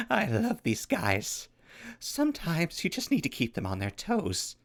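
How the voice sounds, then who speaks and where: A man's voice: high-pitched, wildly swooping pitch, slow pace, creaky, fairly bright tone, husky, exaggerated voice. A man playing a volatile pyromaniac clown soldier in an audio drama, with grandiose, florid speech and swooping outbursts. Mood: playful.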